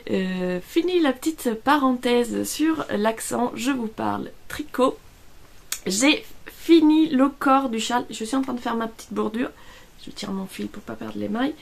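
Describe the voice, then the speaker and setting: A woman's voice: on the high side, unhurried, gravelly. A middle-aged woman from Italy with an Italian accent, chatting casually in a home vlog, hesitant and full of fillers, tired after being ill. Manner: hesitant, many fillers and restarts. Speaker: vlogger. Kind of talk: casual chat.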